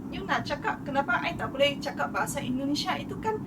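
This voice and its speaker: A woman's voice: high voice, fast. A Malaysian woman vlogging an indignant rant, switching between Malay and Indonesian speech. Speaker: YouTuber. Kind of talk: ranting vlog monologue. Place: played from another video. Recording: distant mic, noisy street ambience.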